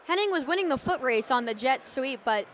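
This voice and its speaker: A woman's voice: high voice, lively intonation, a little fast. A young woman giving live color commentary on an American football game. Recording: live stadium booth with background noise. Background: stadium ambience.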